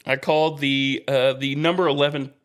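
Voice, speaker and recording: A man's voice: mid-range voice, level tone, normal speed, a bit bright, clean tone. A man co-hosting a sports podcast, chatting and bantering casually about sport.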